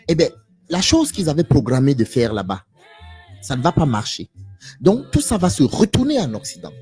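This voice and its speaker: Man, raspy husky voice, animated swooping pitch, lecturing monologue, rhetorical questions. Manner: addresses listeners directly as "tu". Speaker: live stream guest speaker. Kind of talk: live stream monologue.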